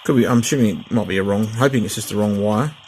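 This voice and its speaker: A man's voice: fairly low pitch, fast, a little mellow, slight background noise. A middle-aged man chatting casually through a radio unboxing and repair, rambling with fillers and restarts. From Australia. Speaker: hobbyist vlogger.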